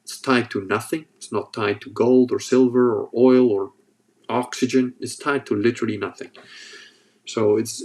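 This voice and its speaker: A man's voice: on the low side, a little mellow. A man with a sore throat hosting a casual livestream, reading chat and joking as he rambles. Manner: rambling, joking, restarts often.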